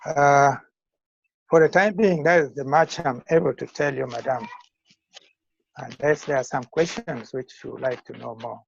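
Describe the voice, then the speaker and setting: An older man in his sixties with a Ugandan accent, giving measured, formal interview answers as a school founder. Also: medium pitch, gravelly, husky, no room sound. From northern Uganda. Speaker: school founder and retired teacher. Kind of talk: interview answers on a video call. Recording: muffled lo-fi call audio.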